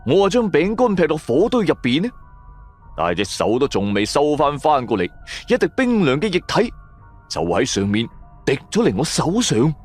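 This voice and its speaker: A man's voice: fairly high pitch, wildly swooping pitch, darkish tone, faint music behind. A man narrating a first-person horror radio drama in a suspenseful, emphatic style. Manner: suspenseful, emphatic, drawn-out stresses.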